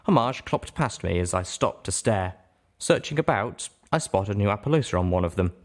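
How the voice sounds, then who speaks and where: A man reading a story aloud as host, in expressive first-person narration. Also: on the low side, normal speed. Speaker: audiobook reader and show host.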